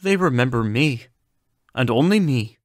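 A man acting the part of a polite, self-possessed doctor in an audio drama, speaking in a formal, measured way. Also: medium pitch, lively intonation, no echo, clean studio sound, close to an everyday voice. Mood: troubled. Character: polite, self-possessed male doctor turned reluctant funfair ringmaster.

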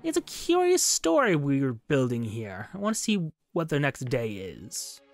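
A man's voice: mid-range voice, wildly swooping pitch, unhurried, soft background music. A man hosting a gaming video with casual commentary.

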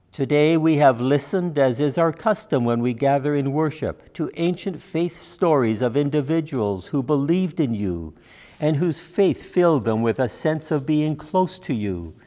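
Senior male minister, slightly high-pitched, measured and solemn delivery of prepared worship text. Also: darkish tone. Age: older. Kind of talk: sermon and pastoral prayer. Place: church sanctuary, at a lectern.

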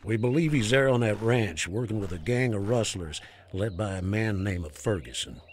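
An actor playing a commanding false Texas Ranger in a western game, authoritative and curt. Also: male voice, on the low side, expressive, normal speed, slight background noise, lightly acted. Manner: authoritative, curt, barking orders. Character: commanding bandit leader posing as a Texas Ranger. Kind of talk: western video game acting.

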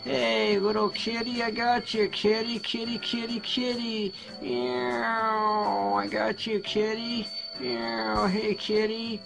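A brash, confident flying superhero who announces everything loud and emphatic, as a man voices him. The voice is high and gravelly with a slow pace, and music plays clearly behind. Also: little pitch movement, heavily acted, low-quality recording. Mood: caring. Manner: loud, emphatic heroic declarations.

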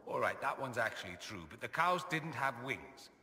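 A man's voice: mid-range voice, sing-song, normal speed, sharp tone, big-room sound, a little hiss or hum. An actor playing a witty, vain male mage nobleman who talks in a sardonic, self-amused drawl. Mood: wry. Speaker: companion character. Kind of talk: video game dialogue.

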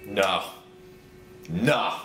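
A man's voice: lowish voice, fairly bright tone. Man acting drawling drunk lovesick guy, roomy recording. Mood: drunken rambling. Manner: slurred, halting, repetitive, overwrought. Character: drunk, lovesick, melodramatic young man pining for his ex. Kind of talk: comedic rambling voicemail monologue. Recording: roomy, distant, noisy home recording.

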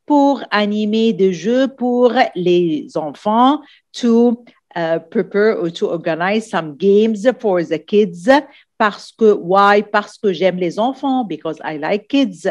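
Female language tutor, unhurried, repeats key phrases over a screen-share lesson. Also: medium pitch, lively intonation, a little mellow. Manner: repetitive, checks in with "d'accord?" often. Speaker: French teacher. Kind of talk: exam-prep lesson explaining in English and French. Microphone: voice-over on screen recording.

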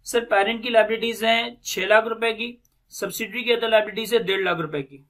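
A man's voice: high voice, fairly even intonation, brisk, fairly bright tone. A man in his thirties with a Pakistani accent lecturing on accounting, methodically repeating key phrases and mixing in English finance terms. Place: indoors, recorded screen lecture at a desk.

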